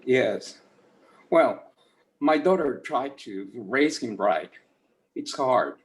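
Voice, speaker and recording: A man playing a humble, ashamed old grandfather in a screenplay read, hesitant and gently pleading. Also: medium pitch, animated, slow pace, poor audio.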